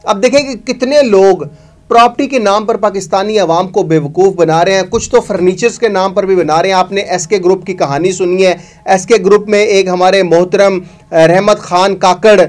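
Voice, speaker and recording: Man, 40s, high-pitched, news host, emphatic and accusatory, studio clip-on mic. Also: steady tone, normal speed, a little mellow. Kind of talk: investigative commentary to camera. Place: studio with green screen.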